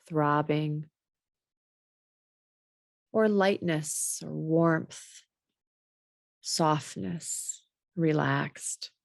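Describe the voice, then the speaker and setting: A woman guiding a body scan meditation in a measured, gently instructional way. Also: low voice, no echo, studio quality. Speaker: meditation teacher.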